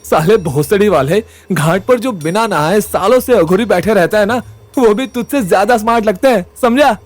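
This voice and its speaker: A cocky, sarcastic college lad, played by a man in a comic audio drama. His voice runs high over a soft music bed. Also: normal speed, dry recording. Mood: mocking. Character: cocky, sarcastic young male college amateur sleuth.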